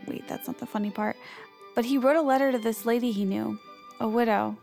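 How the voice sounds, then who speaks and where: A woman's voice: fairly high pitch, steady tone, fairly quick, creaky, darkish tone, faint music behind. An actress playing a quirky, anxious young woman who chats awkwardly and cheerfully about grim things in comedic audio fiction. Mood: chatty, wry. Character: quirky, morbid, anxious young woman narrating her story.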